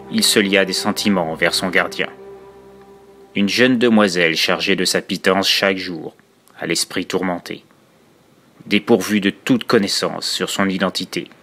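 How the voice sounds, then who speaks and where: A tormented narrator with murderous urges recites a dark horror poem, voiced by a man. The voice is low, solemn and measured, with literary phrasing. Music sits faintly underneath. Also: steady tone, heavily acted. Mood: grave, secretive.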